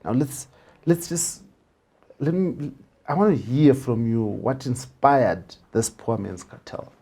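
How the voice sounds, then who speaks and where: A man hosting a conversational interview, haltingly repeating the openings of his phrases. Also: mid-range voice, dark tone, slightly rough.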